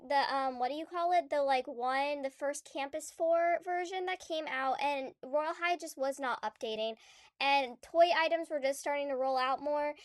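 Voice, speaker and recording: A woman's voice: sits high, moderate pace, no room sound, clean studio sound. A young woman with a stuffy, congested voice from a cold, chatting casually about a game in a rambling vlog. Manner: chatty and rambling, many fillers and restarts. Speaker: gaming YouTuber.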